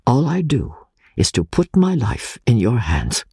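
Audiobook role: intense Italian political refugee performed by a man, solemn and oratorical, heavily breathy-rough voice, close dry sound. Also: medium pitch, huge pitch swings, a little slow, darkish tone, no echo, full character voice. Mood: grave, confiding. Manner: grave, impassioned, oratorical. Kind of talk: dramatic audiobook reading.

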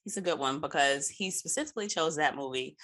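A woman's voice: sits low, fast, a bit bright. A woman hosting a podcast in casual chat, speaking informally and emphatically and swearing freely. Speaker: podcast host.

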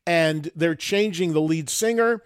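A man's voice: a little high, unhurried, clear, resonant tone, dry recording. A man hosting a podcast, asking eager, probing interview questions into a close mic. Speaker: podcast host.

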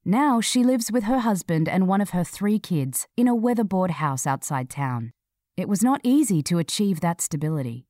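A woman narrating a scripted feature article in a measured, clearly read delivery. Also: lowish voice, expressive, mellow, dry recording, clean studio sound.

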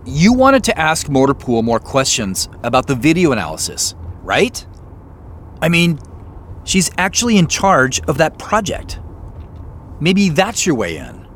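Understated man with a lively pitch. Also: measured pace, slight background noise, exaggerated voice. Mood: uneasy. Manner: hesitant, apologetic, understated. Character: uneasy, polite, evasive professional man. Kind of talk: naturalistic audio drama acting.

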